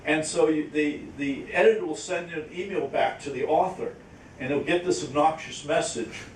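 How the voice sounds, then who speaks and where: A man speaking informally at a question-and-answer session, haltingly, repeating words as he explains with examples. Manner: halting, repeats words, illustrates with acted-out quotes. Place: echoey indoor conference room. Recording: roomy, distant mic, faint rumbling noise.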